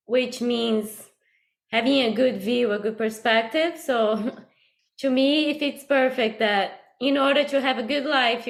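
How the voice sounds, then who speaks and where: Earnest woman, fairly high voice. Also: a bit bright. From Brazil. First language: Portuguese. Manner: earnest, reflective, laughs lightly while talking. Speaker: guest, birth and death doula. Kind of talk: casual interview talk.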